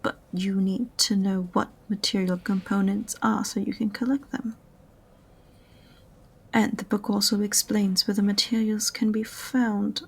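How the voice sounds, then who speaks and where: A woman playing an unenthusiastic, sarcastic witch librarian in an audio roleplay, speaking softly and breathily with a condescending, exasperated manner. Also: mid-range voice, flat delivery, measured pace, vocal fry, slightly rough. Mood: grudgingly intrigued. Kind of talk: audio roleplay acting addressed to the listener.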